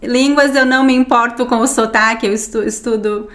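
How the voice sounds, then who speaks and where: A woman who teaches Portuguese hosts an interview, asking friendly, easygoing questions. Her voice sits a little high for a woman. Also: steady tone, fairly quick, fairly bright tone. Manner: friendly, asks questions with easy laughs. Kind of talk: casual interview chat.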